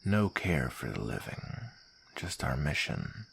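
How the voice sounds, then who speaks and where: Man playing a slow, gravelly, smug villain. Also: a bass voice, big pitch swings, fairly bright tone, heavily acted. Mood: coolly menacing. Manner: drawling, mockingly sweet, menacing. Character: taunting, smug male soldier villain, former comrade. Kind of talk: ASMR roleplay voice acting.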